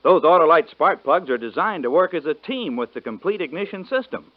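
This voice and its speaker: A man's voice: on the high side, big pitch swings, fairly quick, no room sound. Friendly sales guy in an old radio ad, bouncy voice, dry lo-fi. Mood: folksy. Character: friendly spark plug salesman. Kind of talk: scripted radio advertisement. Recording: lo-fi, dry 1950s radio broadcast.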